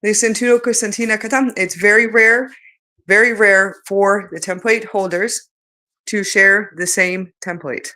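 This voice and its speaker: A woman's voice: mid-range voice, fairly even intonation, a little slow, bright, forceful tone. A woman channeling in light language, chanting flowing rhythmic syllables between casual remarks, her voice sounding changed and strained. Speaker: spiritual channeler.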